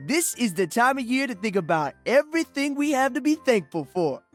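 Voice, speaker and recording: A man's voice: very high voice, very animated, fairly quick, soft background music. An actor playing an upbeat, positive young male musician in a video game, sunny and enthusiastic.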